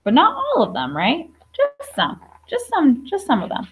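A woman's voice: sits high, wildly swooping pitch, moderate pace. A young adult woman teacher reading a picture book aloud to young children, explaining simply with questions and asides. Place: classroom.

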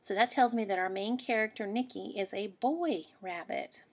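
A woman's voice: mid-range voice, moderate pace, husky. A woman teacher reading a picture book aloud to young children, explaining patiently and checking in with questions.

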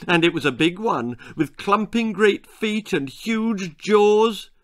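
A man's voice: high voice, lively intonation, slow pace, fairly bright tone, voice pushed up, heavily acted. A man voicing a distraught, scolding mother who wails and exclaims, in a children's storybook reading. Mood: upset. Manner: wailing, exclaiming.

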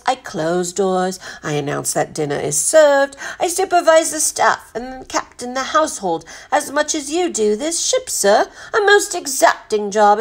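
Unflappable gentleman butler female narrator, formal and proud, slight creak in a mid-pitched voice. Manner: formal, precise, perfectly calm. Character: unflappable, proud, courteous male English-style butler.

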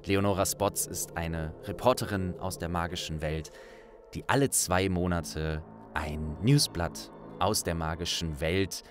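A man's voice: deep voice, a little slow, over music. A man hosting an audiobook project, speaking casually and directly to his listeners. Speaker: audiobook narrator and host. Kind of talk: casual thank-you address to listeners.

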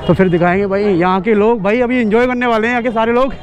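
A young man recording a casual motorbike vlog through a helmet camera, chatting excitedly with friends on a crowded festival street. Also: sits high, quick pace. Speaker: motovlogger. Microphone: helmet camera while riding a motorbike. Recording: loud crowd and traffic noise outdoors.

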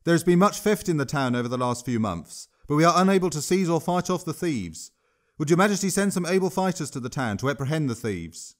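A man voicing an earnest fourteen-year-old boy who politely petitions a king, his pitch raised a little. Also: expressive, fairly quick, natural voice. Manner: polite, formal pleading.

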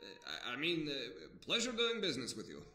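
A man's voice: fairly high pitch, huge pitch swings, fairly quick, gravelly, bright, forceful tone, full character voice. A man playing an awkward, flustered trader in an audio drama, stammering and hesitant. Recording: roomy, distant from mic.

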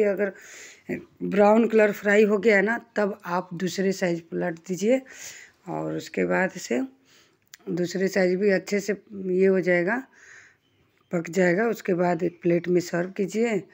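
A woman with a voice of ordinary, middle pitch hosts a home cooking channel. She talks in a homely, chatty way, explaining each step as she works at the stove. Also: creaky, a little mellow. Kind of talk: casual step-by-step cooking instructions.

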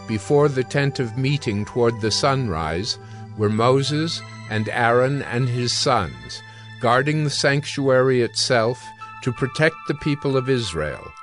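A man narrating a dramatized audio Bible in a measured, formal manner. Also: mid-range voice. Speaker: scripture narrator. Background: background music.

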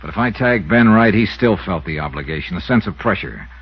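A man's voice: low voice, animated, brisk, husky, exaggerated voice. A man playing a cool, probing American insurance investigator in a 1950s radio drama, with a dry, clipped manner. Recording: dull, noisy old radio recording.

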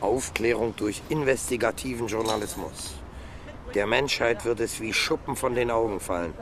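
An actor playing a sardonic, chummy police detective in a radio crime drama, talking colloquially and skeptically. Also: male voice, lowish voice, moderate pace, crowd noise, lightly acted. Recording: loud urban street noise.

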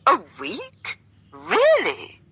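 A woman voicing a playful young gentleman who speaks with exaggerated, dramatic politeness in a storybook reading. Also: mid-range voice, very animated, slow pace, pitched above the performer's own voice, full character voice. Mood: mock surprise. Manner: dramatic, over-polite, stretched-out delivery.

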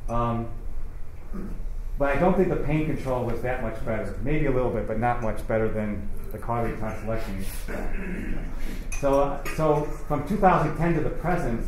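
A middle-aged man giving an informal, chatty talk to an audience as a surgeon, with frequent restarts. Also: medium pitch. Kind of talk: informal conference lecture. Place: hotel banquet room with seated audience. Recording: echoey room, faint ambient noise.

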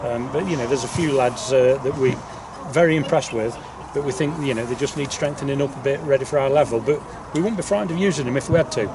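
Distant outdoor mic, background noise, man in his fifties, medium pitch, football manager, informal interview, repeats words. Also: fast talker, hardly any pauses. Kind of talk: informal post-match interview.

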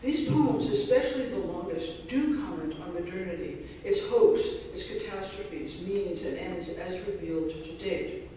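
A man's voice: sits high, unhurried, hardly any pauses, mellow, a little hiss or hum. A man giving an academic keynote, reading a scholarly paper with dense phrasing and dry self-deprecating asides. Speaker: keynote speaker. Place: large lecture hall. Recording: echoey hall recorded from far off.

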